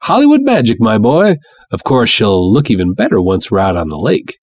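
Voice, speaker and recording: A man's voice: fairly low pitch, big pitch swings, moderate pace, a little mellow, no room sound. A man voicing a brash, jovial, sly showman promoter with folksy salesman patter in a pulp story reading. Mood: boastful. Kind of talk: dramatic reading of pulp fiction.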